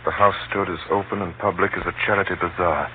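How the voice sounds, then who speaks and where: An actor playing a determined but self-doubting adventurer, narrating in a confiding, dramatic, conversational 1930s radio style. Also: male voice, low voice, steady tone, fast, close to an everyday voice. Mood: confiding narration. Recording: muffled, scratchy 1930s radio broadcast with hum.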